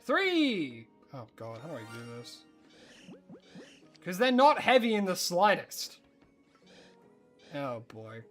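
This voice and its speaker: Excitable Australian streamer with lively pitch. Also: slow pace, long pauses, faint music behind. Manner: rambling, excitable, jumps into high squeals of surprise. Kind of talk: casual live-stream chat while gaming.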